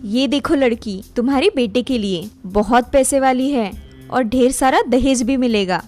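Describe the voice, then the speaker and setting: A woman voicing a greedy, conniving female relative in an animated story, persuasive and conspiratorial. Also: a little high, normal speed, faint music behind, lightly acted.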